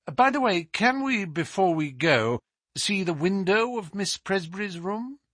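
A man playing a composed, amiable gentleman detective, polite and formal, in a storybook reading. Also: high voice, expressive, unhurried, no echo, clean studio sound, voice pushed up, heavily acted. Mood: amused, musing. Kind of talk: dramatised audiobook reading.

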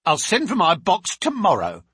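A man's voice: high-pitched, huge pitch swings, moderate pace, fairly bright tone, breathy edge, no echo, studio quality, voice pushed up, exaggerated voice. A man voicing a sulky, coarse maidservant in an audiobook, with rustic speech and a screechy, wheezy edge. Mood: defiant. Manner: rustic uneducated speech, indignant.

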